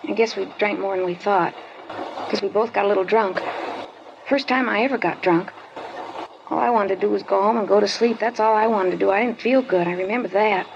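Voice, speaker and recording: A woman's voice: mid-range voice, fairly even intonation, lightly acted. Woman playing a guarded teenage schoolgirl. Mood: recounting. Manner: guarded, halting, evasive answers. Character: wary 15-year-old schoolgirl informant, guarded. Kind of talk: 1950s radio drama acting. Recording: dull lo-fi old radio recording, clear hiss.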